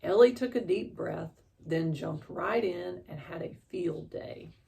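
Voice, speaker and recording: A woman reads a children's story aloud with expression. Her voice is slightly low and creaky, and she takes her time. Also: darkish tone.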